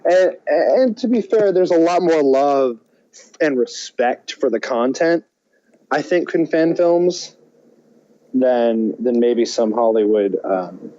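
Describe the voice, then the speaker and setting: A man's voice: medium pitch, slow, mellow, no echo. Man thinking aloud, slightly dull sound. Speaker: podcast co-host. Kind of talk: casual film chat.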